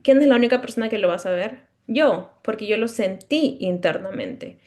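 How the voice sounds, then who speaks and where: A woman gives a conference lecture as a speech-language pathologist, in a voice of middling pitch for a woman. She explains patiently and checks in with her listeners now and then. Now and then she restarts a word partway through. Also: lively intonation, normal speed, darkish tone. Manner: explanatory, asks the audience checking questions; stutters at times, mid-word restarts.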